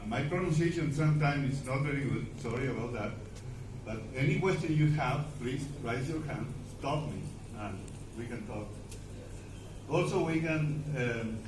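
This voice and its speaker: An older man from Argentina, a native Spanish speaker with an Argentine accent, gives an academic seminar as a guest specialist in halting, deliberate English. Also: medium pitch. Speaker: animal nutrition specialist giving a guest lecture. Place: seminar room before an audience. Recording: echoey room, distant mic, background noise.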